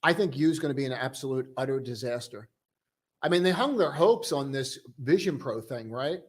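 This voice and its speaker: A young man casually hosting a live stock-trading stream, chatting loosely and joking with viewers in a relaxed, tired way. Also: medium pitch, moderate pace. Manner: loose, joking, full of restarts and "dude". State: tired, low on coffee.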